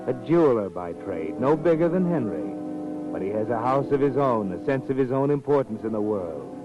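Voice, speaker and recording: An actor playing a brooding, knowing narrator of a crime tale in 1940s radio style, measured and literary, on a muffled old broadcast. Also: male voice, mid-range voice, sing-song, lightly acted. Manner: ominous, measured, literary delivery. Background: music.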